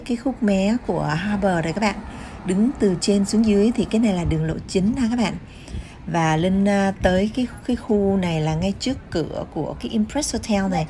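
A woman's voice: medium pitch, noisy background. A woman vlogger chatting casually to her viewers as she walks a city harbor with her phone, dropping English words into her talk. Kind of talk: casual walking-tour narration. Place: outdoors by a city harbor, filming while walking.

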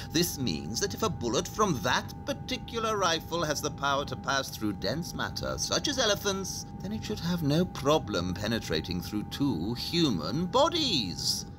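An actor playing a brilliant, sceptical detective in an audio play, speaking precisely and formally. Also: male voice, on the high side, poor audio. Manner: precise, formal, theatrical, measured deduction.

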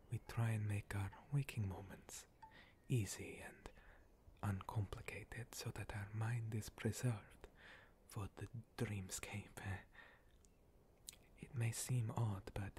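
A man playing a gentle, long-lived monster cleric in a whispered ASMR roleplay, breathy, courteous and a little hesitant, with formal phrasing. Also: lowish voice, fairly even intonation, slow pace. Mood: gentle, hospitable.

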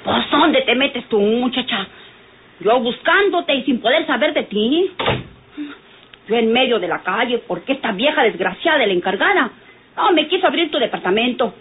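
Woman acting high-pitched scolding Mexican busybody. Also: lively intonation, moderate pace, lightly acted. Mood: indignant scolding. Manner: quarrelsome, folksy speech. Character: scolding, nosy, touchy working-class woman. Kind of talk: old Mexican radio drama acting. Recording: tinny, noisy vintage radio recording.

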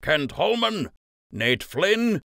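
A man's voice: sits high, sing-song, drawn-out words, vocal fry, a bit bright, no room sound, studio quality, voice pushed up, full character voice. A man voicing a formal rabbit master of ceremonies in an audiobook, calling out announcements to a gathering. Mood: formal, proclaiming. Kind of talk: audiobook character voice.

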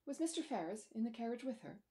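A woman's voice: fairly high pitch, big pitch swings, quick pace, warm tone, voice pushed up. A genteel middle-aged lady, voiced by a woman reader in a classic storybook. She is an anxious mother, questioning politely in a lifted voice. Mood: anxious, inquiring. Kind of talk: storybook character dialogue.

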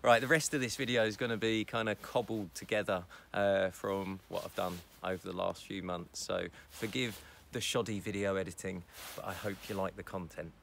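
A man in his forties, a foraging teacher from the United Kingdom, explaining wild mushrooms outdoors in a woodland in a relaxed, chatty way with fillers. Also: low-pitched, normal speed, gravelly, slight background noise. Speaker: foraging instructor.

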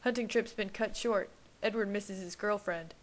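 A woman's voice: mid-range voice, fairly even intonation, moderate pace, natural voice, low-quality recording. A woman voicing a sarcastic young man who teases his brother in a wry tone. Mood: teasing.

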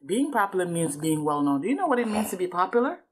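A woman's voice: fairly low pitch, very animated, fast talker, slightly rough. A woman reading aloud to a child as a parent, explaining things and checking understanding with questions.

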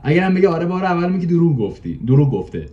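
A man's voice: a little high, sing-song. A man in his twenties chatting casually as a podcast guest, explaining in colloquial speech and repeating words for emphasis. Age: 20s. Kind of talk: casual chat. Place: living room, indoors. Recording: dull, muffled video call audio.

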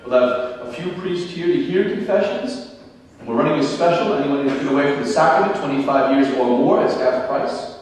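Animated preacher in echoey church. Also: male voice, fairly quick, far from the mic. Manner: animated, gesturing widely, sweeping pitch swings from high exclamations to low asides; humorous asides. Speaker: priest and mission preacher. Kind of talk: parish mission announcement sermon. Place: large church sanctuary, at the pulpit. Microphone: small headset mic.

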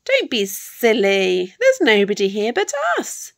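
A woman voicing a huge, motherly, bossy giantess with a booming, effusive manner for a storybook. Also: big pitch swings, slow pace, heavily acted. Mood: scolding.

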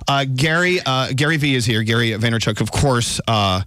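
Fifty-something radio host, dry studio mic. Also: male voice, mid-range voice, moderate pace, pushed and bright, no room sound. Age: 50s. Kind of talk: morning radio interview. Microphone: studio microphone, wearing headphones.

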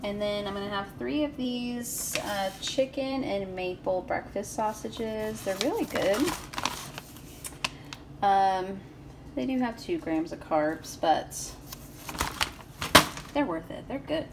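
A woman's voice: medium pitch, takes it slowly, pauses often, background noise. A woman in her thirties chatting casually through a keto food and grocery vlog in her home kitchen, upbeat and full of fillers. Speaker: keto vlogger.

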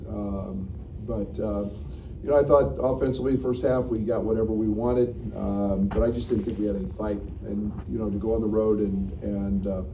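A middle-aged coach talks at a table in a press room, frank and halting, full of restarts. His voice sits a bit low. The sound is roomy and noisy, picked up from a distance. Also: steady tone, dark tone, lo-fi sound. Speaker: basketball coach. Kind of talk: postgame press conference. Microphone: table mic, recorded from the room.

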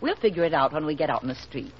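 A woman's voice: sits low, big pitch swings, racing, slight background noise. An actress playing a fussy, affectionate middle-aged mother in 1940s radio drama, fluttery and fretful. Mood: fussing. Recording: muffled old radio broadcast.

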